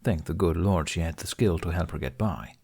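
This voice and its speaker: Low-pitched male audiobook narrator. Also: brisk, vocal fry, warm tone, breathy edge. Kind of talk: scripted story narration.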